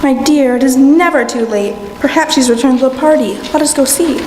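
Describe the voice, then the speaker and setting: A woman's voice: sits high, fairly quick, close to an everyday voice. A woman playing a kindly, coaxing, motherly older wife in a live radio play. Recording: echoey hall, background noise.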